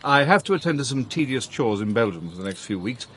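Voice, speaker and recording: An actor in a British radio drama playing a secretive, wealthy smuggler and devoted suitor, earnest and courtly. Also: male voice, medium pitch, sing-song, fast, fairly bright tone, slight background noise. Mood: delighted, assured.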